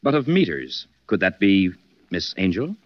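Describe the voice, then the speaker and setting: An actor playing a confident, polished gentleman detective who hosts a 1940s radio mystery. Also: low-pitched, very animated, dry recording. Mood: confident deduction. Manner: polished, deliberate. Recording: dull vintage 1940s radio recording.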